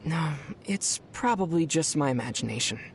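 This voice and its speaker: A man voicing a calm, dry-witted, gently teasing young traveler in a video game. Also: fairly high pitch, normal speed, creaky, a little hiss or hum. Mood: thoughtful. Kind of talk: video game character acting.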